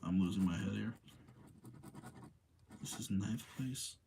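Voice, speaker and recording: A man hosting a livestream, talking casually in an informal, rambling, slangy way with a husky voice. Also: on the low side, slow, background noise. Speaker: livestream host. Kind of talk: casual livestream chat and commentary.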